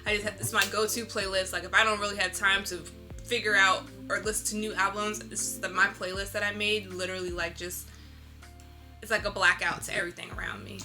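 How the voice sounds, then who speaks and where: A woman's voice: mid-range voice, flat delivery, brisk, pushed and bright. A woman speaking informally and reflectively in a casual interview as a visual artist, often saying "like". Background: instrumental lo-fi music.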